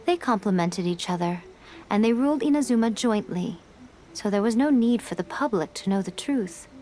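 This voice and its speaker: A woman's voice: mid-range voice, expressive, moderate pace, dark tone, lightly acted. Woman voicing a sly teasing fox spirit. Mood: calm. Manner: poised, teasing, elegant. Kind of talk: game dialogue.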